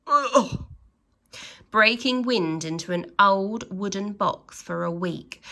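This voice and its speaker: Slow, expressive woman reading to children. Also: mid-range voice, gravelly. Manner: expressive, with dramatic emphasis and exclamations; pauses to address young listeners with questions. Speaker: teacher reading a storybook to children. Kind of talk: animated storytelling read-aloud for young children.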